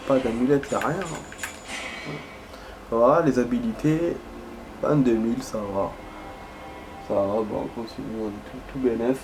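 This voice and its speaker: A stuffy-nosed man with a slight lilt rambles through his gameplay commentary in a chatty, meandering way, talking to viewers like friends. Game music plays clearly under his mid-pitched voice. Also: low-quality recording. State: congested with a head cold. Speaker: gaming video host.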